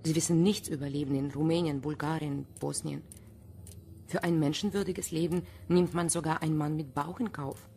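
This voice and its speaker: Defiant romanian immigrant woman, low voice, clipped formal lines, radio drama. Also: fairly even intonation, moderate pace, warm tone. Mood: defensive. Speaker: actor in a crime radio play. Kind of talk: German radio drama acting.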